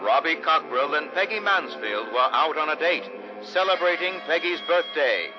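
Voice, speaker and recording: Man as showy vintage radio announcer, animated. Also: on the high side, moderate pace, muffled recording, natural voice. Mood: ominous, dramatic. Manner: dramatic, showy. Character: theatrical male 1950s radio announcer, suspense narrator. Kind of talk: vintage radio scripted narration. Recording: band-limited old radio sound with music.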